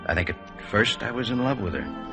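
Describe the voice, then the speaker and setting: A low-voiced, earnest and wistful man speaks with dramatic, highly varied intonation. He is polite and introspective, in 1950s radio drama style, over prominent music. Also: big pitch swings, normal speed. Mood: wistful, confessional. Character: earnest, wistful advertising man recalling an old infatuation.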